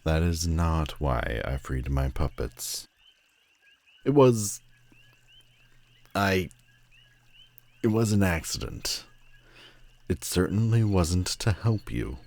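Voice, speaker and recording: A man's voice: very deep voice, darkish tone, heavily acted. A man playing a proud, sardonic telepathic villain with secret loneliness, speaking haughtily and dramatically in a roleplay audio drama. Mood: flustered, indignant. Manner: haughty, dramatic, drawn-out emphatic phrasing.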